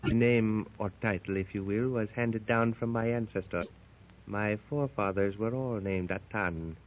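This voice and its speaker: Brief: a man as a scheming adventurer, lowish, measured and sly, on a tinny radio track. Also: a little hiss or hum, natural voice. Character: smooth scheming Egyptian adventurer, adult man. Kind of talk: 1930s radio drama acting. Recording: tinny old 1930s radio recording.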